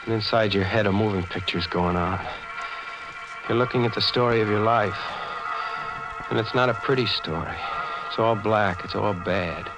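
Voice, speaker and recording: Guy acting scared young racket boy, low voice, hardboiled slangy confession, old radio horror, loud music behind. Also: moderate pace, pauses often, close to an everyday voice, rough recording. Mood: grim, brooding. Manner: melodramatic, slangy hardboiled delivery. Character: cowardly, fatalistic young gangster narrating his confession.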